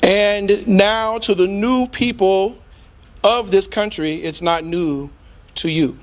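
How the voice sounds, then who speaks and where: A middle-aged man giving an impassioned, preacher-like public speech in a deliberate, rhetorical manner with emphatic repetition. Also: high voice. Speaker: community speaker.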